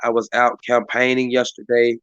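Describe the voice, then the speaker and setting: Hoarse guy rambling thru a live stream, pretty flat delivery, dry sound. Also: medium pitch, normal speed, no echo, muffled recording. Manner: rambling, many fillers and restarts. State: hoarse, voice partly lost. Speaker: community activist hosting a live stream meeting. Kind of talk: casual hosted talk. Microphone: live stream mic.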